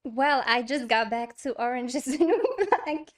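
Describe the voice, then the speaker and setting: A young woman from Morocco, a native Arabic speaker with an accent, chatting as a podcast guest in a hesitant, soft manner full of fillers and small laughs. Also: fairly high pitch, normal speed. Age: young adult. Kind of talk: casual interview chat. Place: small indoor studio, on a couch. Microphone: lavalier mic.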